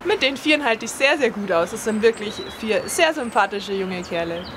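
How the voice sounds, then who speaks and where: A woman answering questions to camera as a crew member, measured and lightly ironic. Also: mid-range voice, animated, over music.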